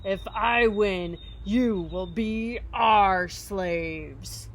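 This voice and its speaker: A woman voicing a boastful male giant soldier from a children's storybook, shouting drawn-out, bullying taunts. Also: medium pitch, a little hiss or hum. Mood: menacing taunt.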